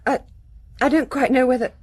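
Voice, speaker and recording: An actress playing a guarded, frightened young titled lady with an upper-class English accent, halting and defensive, in a radio mystery drama. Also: high voice, steady tone, darkish tone, breathy edge. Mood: hesitant, anxious. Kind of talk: radio murder mystery acting.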